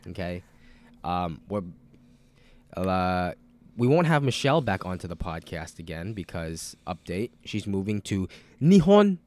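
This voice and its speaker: A podcast host who is sick with a cold and sounds nasal, talking in a loose, rambling, profane way with lots of fillers. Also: male voice, sits low, very animated, a little slow, a little mellow. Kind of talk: casual rambling chat.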